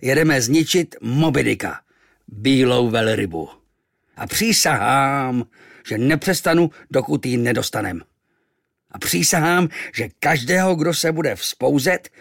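A man's voice: medium pitch, lively intonation, vocal fry, no echo, clean studio sound, pitched above the performer's own voice. A man doing a roaring, menacing old sea captain. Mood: wrathful. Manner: roaring, solemn and threatening. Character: stern gruff old sea captain with wooden leg.

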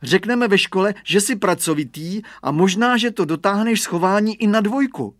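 Storybook little son, voiced by a man: earnest, eager, noticeably high pitch, animated lines. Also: dry recording, studio quality. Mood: generous, eager. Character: little boy, the gardener's son, earnest and pleased.

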